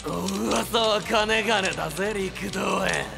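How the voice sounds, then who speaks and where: An actor playing a brash, rough young gang boss who talks in coarse, swaggering street speech in a voice drama. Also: male voice, sits high, takes it slowly, over music, heavily acted. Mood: cocky. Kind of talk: anime voice drama acting.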